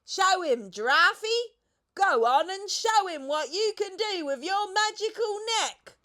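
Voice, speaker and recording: A woman voicing an excitable, daredevil monkey in a children's storybook, singing out exuberantly. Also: extremely high pitch, slow, pitched above the performer's own voice, exaggerated voice. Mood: excited urging. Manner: exuberant, sing-out delivery.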